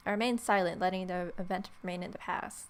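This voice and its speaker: A woman's voice: lowish voice, steady tone, fast talker. Woman playfully narrating a game. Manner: wry, playful asides. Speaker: gaming video host. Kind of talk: let's play, reading protagonist's lines and ad-libbing.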